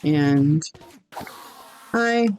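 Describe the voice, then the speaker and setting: Man, hoarse, voice cracking in and out, very slow rambling speech, lots of ums, casual game stream. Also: on the high side, animated, darkish tone, faint music behind. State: hoarse, voice going in and out. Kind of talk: casual gaming stream chat.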